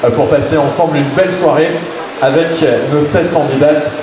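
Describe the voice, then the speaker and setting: A man's voice: on the high side, steady tone, normal speed, crowd noise. A man presenting pageant candidates to the press, upbeat and hesitant with many fillers. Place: large echoey hall. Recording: very echoey hall with loud noise.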